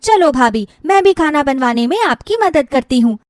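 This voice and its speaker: Young sister-in-law for a moral story played by a woman: high, lively, chatty, affectionate and playful. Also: normal speed, dry recording, studio quality. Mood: spirited, protective. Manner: eager, playful, talkative. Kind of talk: animated Hindi moral-story character voice.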